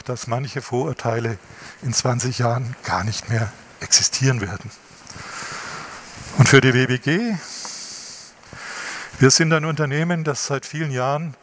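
A man in his fifties giving a measured acceptance speech at a lectern in a wood-panelled hall. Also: mid-range voice, slight background noise. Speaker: company executive accepting an award.

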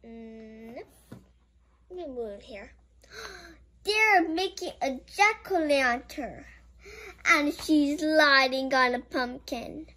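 Very high childlike voice reading a picture book. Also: animated, very slow, long pauses, pushed and bright. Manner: childlike, exclaiming with gasps and giggles. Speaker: children's show host. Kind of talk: picture-book read-aloud with playful commentary.

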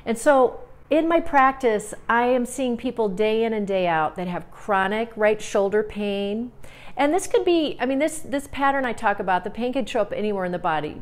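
A woman in her forties, a movement therapist, calmly talking a viewer through breathing and core exercises in her home studio. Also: mid-range voice. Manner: calm, instructive, guiding breath cues. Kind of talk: exercise demonstration.

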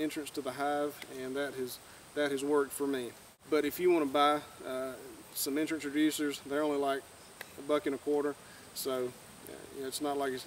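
Young guy explaining stuff outside, plain folksy talk, mic kinda far away, bit of noise behind. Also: mid-range voice. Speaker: beekeeper giving a tutorial. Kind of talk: informal instructional talk to camera. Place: outdoors in a grassy clearing by woods. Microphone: far camera. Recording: distant camera mic, outdoor background noise.

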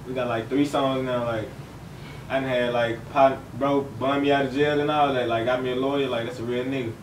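A man's voice: mid-range voice, steady tone, a little fast. A young man from Fort Worth, talking loosely and full of slang as a guest in a casual interview. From Fort Worth, Texas. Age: young adult. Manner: slangy, loose, rambling with fillers. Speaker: rapper guest. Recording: roomy, distant, faint hiss.

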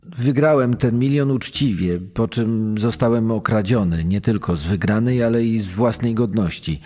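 An actor playing a proud, indignant army major, emphatic and aggrieved, in a true-crime dramatization. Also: male voice, on the low side, moderate pace, darkish tone, big-room sound, soft background music, lightly acted.

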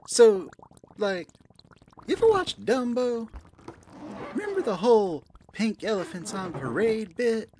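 Folksy, lively pink elephant barmaid, played by an amateur actor. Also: mid-range voice, noisy background. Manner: folksy, coaxing, calls listener sweetie and dear. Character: voluptuous pink balloon elephant barmaid, bubbly, motherly, flirty. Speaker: soda bar server in an audio play. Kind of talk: amateur audio play, second-person roleplay monologue.

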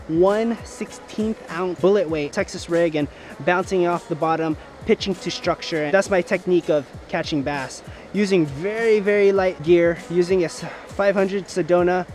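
A young man vlogging while fishing from the rocks of a river, explaining his gear and whooping when he lands a fish, recorded on a head-mounted camera. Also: sits high. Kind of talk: casual outdoor fishing vlog. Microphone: head-mounted action camera.

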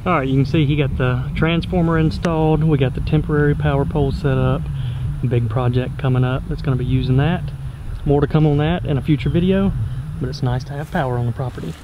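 A man's voice: fairly high pitch, fairly even intonation, quick pace, lo-fi sound. A man hosting a vlog, narrating in a casual, folksy, informal way. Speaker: vlog host. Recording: noticeable background noise, outdoor ambience.

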